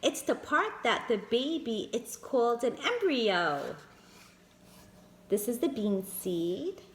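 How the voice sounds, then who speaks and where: A woman's voice: fairly high pitch, big pitch swings, slow pace, slight background noise. A woman reading a picture book aloud to young children, stopping to point out the pictures and calling her listeners friends. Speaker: children's storyteller. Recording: echoey room.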